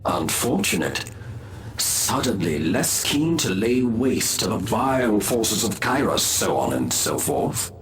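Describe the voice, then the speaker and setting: Game elf schemer: low, theatrical, mocking, very animated intonation, echoey. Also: male voice, takes it slowly, noisy background. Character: sly, sardonic male elf outcast, cunning strategist. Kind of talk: scripted video game acting.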